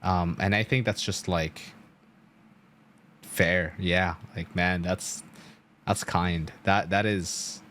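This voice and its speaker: A man's voice: low-pitched, steady tone, slow pace, long pauses, fairly bright tone, a little hiss or hum. A young man streaming a game, explaining its story in a casual, rambling way with emphatic storytelling and loose swearing.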